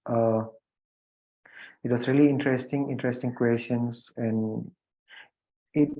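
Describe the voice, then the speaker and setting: A man from India with an Indian English accent, a guest speaker thanking the audience at the end of an online event, hesitant and full of fillers and restarts. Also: medium pitch. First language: Hindi or a regional language of India. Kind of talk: video conference remarks. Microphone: video call. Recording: phone-like narrow video call audio.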